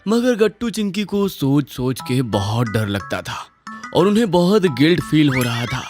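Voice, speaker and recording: Very low-voiced woman narrating a children's story. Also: big pitch swings, moderate pace, over music.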